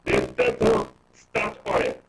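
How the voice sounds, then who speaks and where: A Nigerian-accented man explaining emphatically as a panel guest, building his points step by step with check questions. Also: slow pace. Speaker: panel guest, expert commentator. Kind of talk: explanatory live discussion with everyday analogies. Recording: roomy, distant mic, clear noise and clicks.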